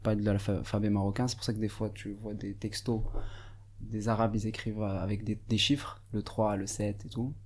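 Hesitant Moroccan-born man, lowish voice. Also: little pitch movement, normal speed, a little mellow. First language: Arabic. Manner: hesitant, thoughtful, many fillers and restarts. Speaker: radio guest. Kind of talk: casual radio interview. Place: radio studio.

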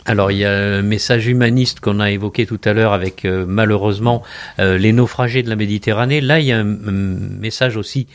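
A man's voice: lowish voice, fairly even intonation, a little fast. A man from the Mediterranean hosting a radio interview, asking questions with the occasional filler. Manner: warm, admiring questions with some fillers. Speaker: radio host.

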